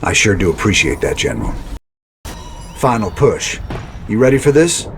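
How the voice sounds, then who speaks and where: Low-voiced rebel commander. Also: male voice, big pitch swings, normal speed. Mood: warm, resolute. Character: weary, determined rebel commander, plainspoken and idealistic. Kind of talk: video game cutscene acting. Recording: loud music and rain sounds behind.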